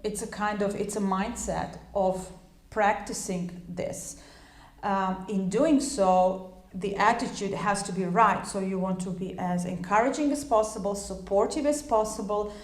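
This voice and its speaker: A woman's voice: mid-range voice, measured pace, echoey room. A woman whose first language is Croatian, giving a loose, talkative English lesson full of fillers and restarts. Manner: chatty, many fillers and restarts. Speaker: English teacher. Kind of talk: informal spoken lesson.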